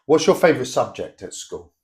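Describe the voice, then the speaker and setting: A man examining a student in a mock speaking test, giving clear and measured instructions. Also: mid-range voice, very animated. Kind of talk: mock exam interview.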